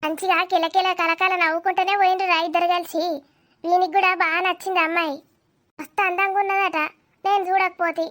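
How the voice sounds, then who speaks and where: A nosy, affectionate village aunt in a cartoon comedy, put on by a woman, with an extremely high voice. She fusses and chatters question after question in a Telangana accent. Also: little pitch movement, brisk, a bit bright. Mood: gossipy. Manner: inquisitive, chattering.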